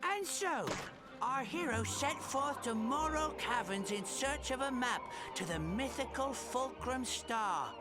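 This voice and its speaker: A man's voice: very high voice, animated, slow, over loud music, exaggerated voice. A man voicing a boastful, scheming robot pirate first mate in a video game, with theatrical, jaunty pirate talk. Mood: grand storytelling. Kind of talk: video game cutscene acting.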